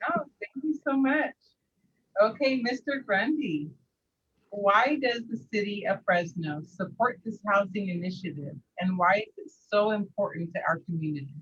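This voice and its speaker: An upbeat woman moderates a panel, thanking guests and passing the turn briskly. Her voice is breathy and a little husky. The recording is dull and lo-fi. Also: mid-range voice, fairly bright tone. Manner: upbeat, brief thanks and prompts. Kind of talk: hosting a panel. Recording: dull lo-fi sound.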